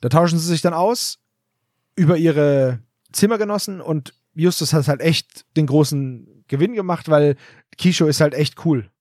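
A man's voice: on the high side, moderate pace, a little mellow, no room sound, studio quality. A man co-hosting a podcast, retelling a story in a loose, rambling, chatty way. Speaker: podcast co-host. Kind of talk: casual episode recap.

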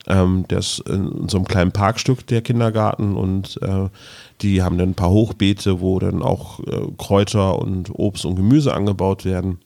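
A man's voice: sits low, steady tone, normal speed, gravelly, mellow. A man, a podcast co-host, chatting casually and telling anecdotes in an easygoing way. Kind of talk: casual chat and storytelling.

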